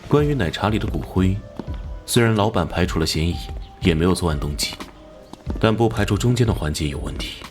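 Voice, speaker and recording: A commanding police captain from an animated crime drama. His voice sits very deep, gruff and businesslike, with faint music underneath. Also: fairly quick. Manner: plain-spoken, businesslike, giving orders.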